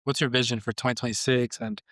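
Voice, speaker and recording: A man hosts a relaxed podcast conversation, nudging his guest along with quick agreement and loosely worded questions. His voice sits at an ordinary pitch. The recording is dry and close. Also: no room sound. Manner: encouraging, with short agreeing replies and halting questions. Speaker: podcast host. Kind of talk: casual podcast interview.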